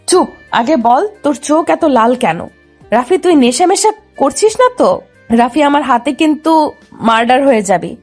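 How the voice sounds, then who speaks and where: A high-pitched, sharp-tongued college girl, acted by a woman in an audio love story. She is bossy and quick to scold, with soft music behind her. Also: expressive. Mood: threatening. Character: bossy, hot-tempered young female college student cousin. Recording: music under the voice.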